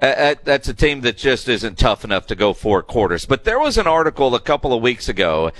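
A man's voice: medium pitch, sing-song, brisk, fairly bright tone. A man hosting casual sports talk radio, putting questions to a guest in a joking, teasing way.

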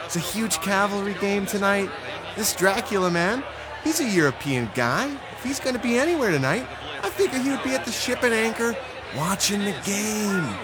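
A man playing an excitable, easily distracted soccer fan in a comic radio drama. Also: high-pitched, normal speed, crowd noise. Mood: excited, distracted.

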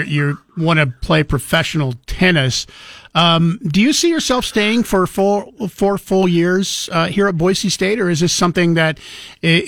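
A male interviewer asking long, rambling questions with halting restarts. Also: on the high side, a bit bright, dry recording, studio quality.

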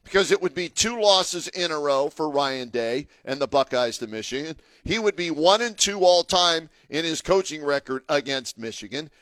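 A man's voice: on the high side, animated, moderate pace, few pauses, a bit bright, no room sound. A man co-hosting a sports talk radio show, talking casually and getting emphatic as he argues a point. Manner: chatty, emphatic, gets fired up arguing.

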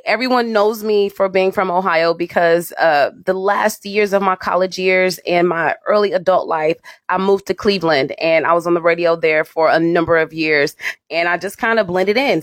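A young adult woman from a military family that lived in Germany tells stories in a relaxed, casual way. Her voice sits a little low, and the recording is dry and close, with no room sound. She pauses and restarts now and then as she remembers. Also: dry recording. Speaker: radio personality, podcast guest. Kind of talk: casual interview chat.

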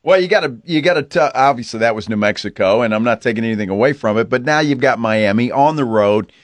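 A man's voice: mid-range voice, fast, no echo. A man hosting a sports radio show in casual, conversational talk.

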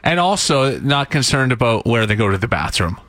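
A man's voice: medium pitch, big pitch swings, fairly quick, fairly bright tone, no echo. A man co-hosting a radio show, chiming in with casual banter. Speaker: radio co-host. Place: radio studio. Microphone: close studio microphone.

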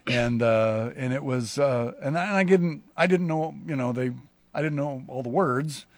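A pastor hosting a casual conversation, a man who talks in halting, rambling sentences with many restarts. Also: medium pitch, no room sound. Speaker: pastor and show host.

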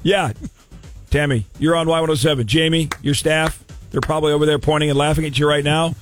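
Guy radio host, high voice, casual banter, bit of music underneath. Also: fairly even intonation, fairly quick. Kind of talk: casual radio banter introducing a prank call.

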